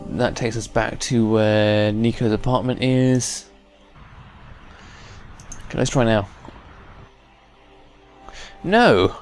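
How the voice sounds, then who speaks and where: A man's voice: fairly low pitch, takes it slowly, long pauses, a little mellow, a little hiss or hum. A man giving informal, unscripted let's play commentary, thinking aloud as he goes. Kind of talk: casual unscripted game commentary. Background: game music.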